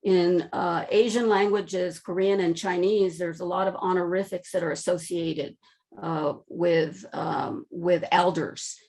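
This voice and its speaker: A woman with a slightly low voice presents research to an online audience. She talks in a conversational, unscripted way, and her voice comes through a video call. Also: steady tone, measured pace, darkish tone. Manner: conversational with frequent fillers and restarts. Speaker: presenter at an education webinar. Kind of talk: lecture presentation.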